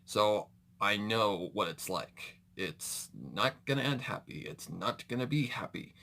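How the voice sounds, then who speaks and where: A man with a fairly low, bright and forceful voice hosts a casual reaction video. He talks in a rambling, unscripted way, often doubling back to correct himself and dropping in mild slang exclamations.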